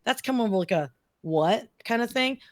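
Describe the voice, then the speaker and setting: A woman's voice: a little high, expressive, normal speed. A middle-aged woman speaking casually as a podcast guest, enthusiastic, emphatic and chatty, often checking in with the listener. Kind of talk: casual conversational interview.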